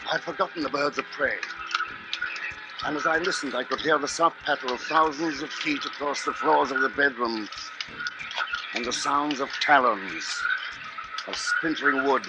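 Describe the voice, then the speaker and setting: An actor playing a grave, watchful husband under siege, in measured, brooding 1950s radio drama style. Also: male voice, medium pitch, loud music behind the voice, close to an everyday voice, rough recording. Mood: haunted, ominous. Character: besieged husband, grave, watchful, haunted by birds. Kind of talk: 1950s radio drama acting, first-person narration.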